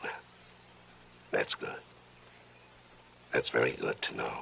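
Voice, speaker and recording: An actor playing a patronizing, coaxing middle-aged judge who hides murderous urges, in old-time radio drama style. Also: male voice, a bass voice, fairly even intonation, slow pace, gravelly, very noisy, full character voice. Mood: guarded.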